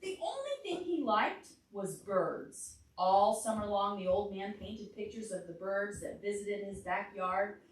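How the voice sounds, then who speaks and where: A woman reads a picture book aloud at an unhurried pace for small children. She sits well back from the microphone in a very echoey indoor room. She breaks off from the text to ask the children questions. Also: medium pitch, animated. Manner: asks the children questions along the way. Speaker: children's storytime librarian. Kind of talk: animated read-aloud to young children. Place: indoor library storytime room. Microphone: distant room microphone. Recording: echoey room, distant mic, faint noise.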